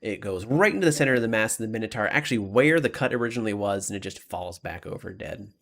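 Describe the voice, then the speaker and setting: Guy dming a tabletop game, creaky voice, describes stuff casually, kinda halting and fixes his words. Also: medium pitch. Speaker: game master. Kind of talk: improvised tabletop game narration.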